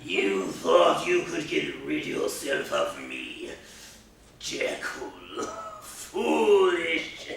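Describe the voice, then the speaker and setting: Monstrous tormented villain for old radio play, slow n melodramatic, really echoey. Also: male voice, fairly high pitch, huge pitch swings, vocal fry, fairly bright tone, exaggerated voice. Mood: menacing, gloating. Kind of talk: 1940s radio acting. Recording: echoey staged reading hall, distant mic.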